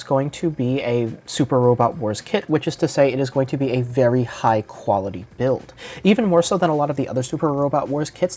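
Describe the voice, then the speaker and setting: A man's voice: mid-range voice, darkish tone. A man with a congested voice from a cold, chatting through a detailed model kit review. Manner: chatty and detailed, fond of "like" and "of course". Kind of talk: unboxing and product review.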